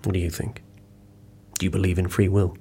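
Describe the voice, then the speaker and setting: An actor playing a dour, disapproving agent in an audio drama, with a husky voice. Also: male voice, low-pitched, little pitch movement, brisk, dark tone, a little hiss or hum, close to an everyday voice. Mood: musing, quiet.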